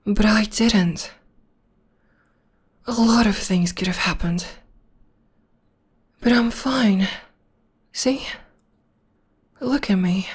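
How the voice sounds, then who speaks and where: Soothing young witch girlfriend, voiced by a woman, breathy slow half-whisper, close mic ASMR. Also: medium pitch. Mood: tender, reassuring. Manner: soft half-whispered comforting. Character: gentle young witch girlfriend, devoted, reassuring. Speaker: voice actor. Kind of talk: ASMR audio roleplay.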